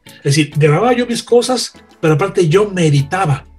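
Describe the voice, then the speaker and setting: A man's voice: high voice, expressive, a little fast, a little mellow, breathy edge, soft background music. A man, a radio veteran chatting and telling anecdotes emphatically, repeating phrases for effect. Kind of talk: animated anecdote-telling in a panel chat.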